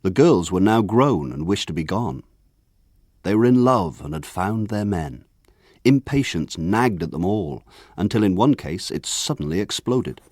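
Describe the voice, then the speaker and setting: An actor narrating a radio drama as a grown man looking back on his village boyhood in a measured, lyrical way. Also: fairly low pitch, big pitch swings, darkish tone, dry recording, studio quality, full character voice. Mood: reflective.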